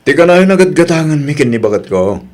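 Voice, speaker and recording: Man acting an animated, exasperated Ilocano husband. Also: on the high side, quick pace. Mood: friendly. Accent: Ilocano Filipino. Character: exasperated, reasonable village husband, easygoing and plain-spoken. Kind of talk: Ilocano radio drama acting.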